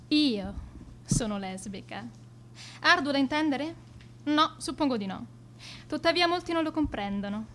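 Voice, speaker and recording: A woman's voice: sits high, pushed and bright, a little hiss or hum, heavily acted. An actress in a radio monologue playing an aloof woman who speaks in a pedantic, clipped, formal manner. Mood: declaring, defiant. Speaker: radio drama actor.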